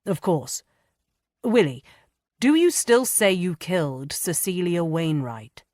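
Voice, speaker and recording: A woman reading a composed young lord from a period novel, dry and measured. Her tone stays low and even, with a close, dry sound. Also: no echo.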